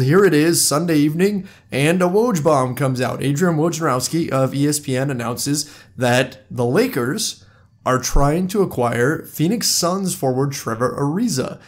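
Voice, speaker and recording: A man hosting a solo podcast, talking straight to his listeners in a conversational way, hoarse from a cold. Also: medium pitch. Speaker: podcast host. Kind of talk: solo sports podcast monologue.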